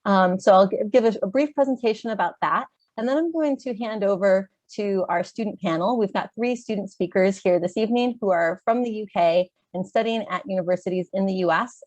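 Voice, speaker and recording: A woman with an American accent from Chicago, a little congested, presenting a webinar in a clear, organized way with some "um" fillers. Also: mid-range voice, no room sound. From Chicago, USA. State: slightly congested, clearing throat. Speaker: webinar presenter, education advisor. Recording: dull, dry video-call audio.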